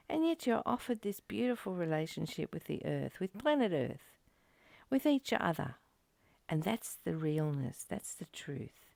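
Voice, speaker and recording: A woman speaks slowly as a spiritual teacher, in a voice that often drops into creak. Her pitch rises and falls widely as she reads and reflects, earnest and emphatic in how she puts each point. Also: darkish tone, dry recording. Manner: earnest, emphatic, with rhetorical questions and repeated phrases. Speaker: spiritual teacher leading a study of a course text. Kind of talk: spiritual teaching, reading aloud with reflective commentary.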